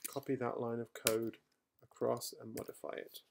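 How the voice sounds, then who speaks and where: Gravelly man explaining code at his desk. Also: medium pitch, little pitch movement, moderate pace, noisy background. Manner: thinking aloud, with fillers and self-corrections. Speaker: software trainer. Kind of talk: screencast coding tutorial. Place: quiet home room.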